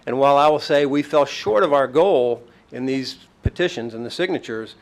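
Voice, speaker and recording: Man giving measured public comment. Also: mid-range voice. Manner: measured, appreciative. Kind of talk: prepared public statement. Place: county courtroom.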